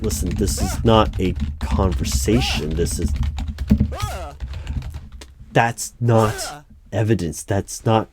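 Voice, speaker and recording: Man acting a slow, low-voiced, uneasy bureau interviewer. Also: noisy background. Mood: shaken, disbelieving. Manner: halting, pausing, incredulous. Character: uneasy, disbelieving male hiring manager of a secret bureau. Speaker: solo roleplay performer. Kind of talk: one-sided ASMR audio roleplay interview. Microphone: close microphone with keyboard typing behind.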